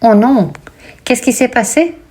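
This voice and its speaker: A woman's voice: a little high, animated, a little mellow, pitched above the performer's own voice. Caring friend , voiced by a woman. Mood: concerned. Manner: slow, careful textbook dialogue reading. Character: caring female friend asking what's wrong.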